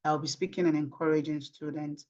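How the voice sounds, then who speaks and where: A woman's voice: sits low, fairly even intonation, fairly quick, darkish tone, dull audio. A woman from Nigeria with a Nigerian accent and Yoruba as her native language, answering in an interview emphatically with rhetorical questions. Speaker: interview guest, tech professional.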